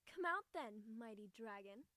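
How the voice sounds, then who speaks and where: A man voicing a regal pony princess with a soft, feminine voice and a calm, commanding manner. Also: sits high, measured pace, dry recording, voice pushed up, full character voice. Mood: cheerful.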